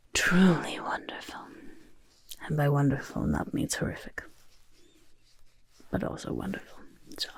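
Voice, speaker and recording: A woman voicing a pompous, gleefully chaotic, doting goblin overlord in a soft-spoken ASMR roleplay, with a breathy, husky near-whisper. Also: lowish voice, no echo, heavily acted. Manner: speaks of self in third person, grandly self-satisfied.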